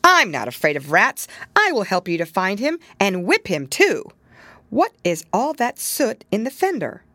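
A firm, no-nonsense neighbor cat talks in a brisk, scolding voice, as a woman puts her on. She takes charge at once. Close and dry storybook reading. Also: mid-range voice, big pitch swings, dry recording, close to an everyday voice. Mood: stern. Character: brisk stern neighbor cat, middle-aged, bossy.

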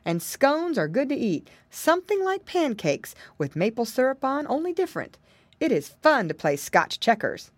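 A woman voicing a kindly, chatty old gentleman rabbit in a storybook, genial and explaining things. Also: sits high, very animated, moderate pace, no room sound, pitched above the performer's own voice.

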